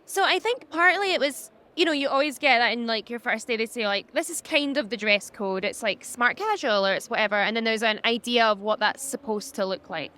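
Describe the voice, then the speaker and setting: A young woman with a high-pitched voice, talking candidly as a podcast guest, animated and laughing often. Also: a little fast, hardly any pauses. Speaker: podcast guest, social media business owner. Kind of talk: candid conversational interview.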